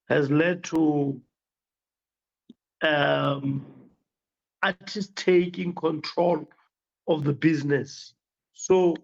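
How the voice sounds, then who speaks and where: Creaky male interview guest, dull line. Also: a little high. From Botswana. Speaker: interview guest, music business expert. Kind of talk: unscripted explanatory interview talk. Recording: dull, lo-fi remote connection.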